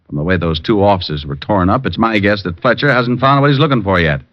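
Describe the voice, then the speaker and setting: Forties radio private eye, played by a male actor: flippant, unflappable, lively inflection, dry muffled vintage sound. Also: lowish voice, fairly quick, no echo, close to an everyday voice. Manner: flippant, teasing. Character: wisecracking, cocky male private detective, unflappable. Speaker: actor in lead role, narrator. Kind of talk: 1940s radio acting. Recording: muffled old radio recording.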